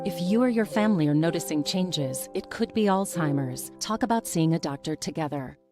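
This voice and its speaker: A woman narrates a scripted public service announcement. Her voice is slightly low, and music is prominent beneath it. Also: animated, brisk. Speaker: advert narrator.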